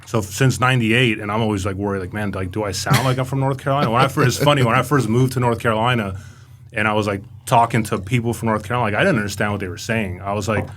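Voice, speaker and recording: A man's voice: fairly low pitch, steady tone, racing, fairly bright tone, a little hiss or hum. A middle-aged man from Romania, raised in Los Angeles, chatting casually as an interview guest, filling his speech with "right?" and "you know". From born in Romania, raised in Los Angeles. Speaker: guest, command sergeant major.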